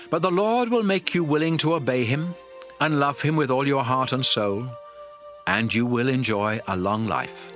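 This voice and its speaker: A man's voice: medium pitch, a bit bright. An actor playing a solemn Hebrew prophet addressing his nation in earnest oratory for a dramatized Bible. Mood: earnest, exhorting. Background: music.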